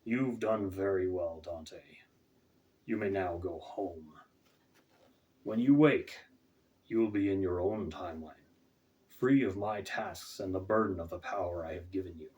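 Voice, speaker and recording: A man voicing a solemn, commanding Death in a storybook, speaking with measured authority. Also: low voice, darkish tone. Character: solemn, commanding Death, robed male master of shadows. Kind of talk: storybook character voice. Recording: distant, off-mic home recording.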